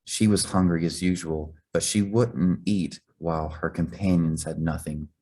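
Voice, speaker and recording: A man's voice: sits low, fairly even intonation, normal speed, warm tone. A man reading a children's story aloud as scripted narration. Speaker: reader.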